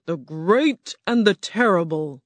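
Narrator doing a terrifying giant wizard, slow, loud, booming, set back from the mic, dry sound. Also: animated, no room sound.

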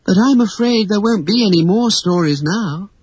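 A storybook narrator voicing an excited, chattering young girl with a gushing, emphatic manner. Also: mid-range voice, unhurried, husky, dry recording, voice pushed up. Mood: wistful. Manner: gushing, breathless, emphatic exclamations. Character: excited, chattering young girl, warm-hearted and wide-eyed. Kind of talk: acted storybook dialogue.

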